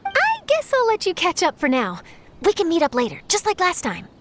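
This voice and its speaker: High-voiced, eager young reporter. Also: female voice, huge pitch swings, moderate pace, full character voice. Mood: polite. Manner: bright-eyed, chatty, earnest. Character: eager, polite young woman journalist, talkative and excitable. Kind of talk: video game voice acting. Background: game music.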